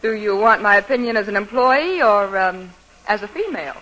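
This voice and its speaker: A woman's voice: mid-range voice, expressive, normal speed, a bit bright, lightly acted, low-quality recording. An actress playing a flirty, wisecracking female receptionist in 1940s radio comedy, with a sassy, teasing manner. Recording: old radio broadcast, faint noise.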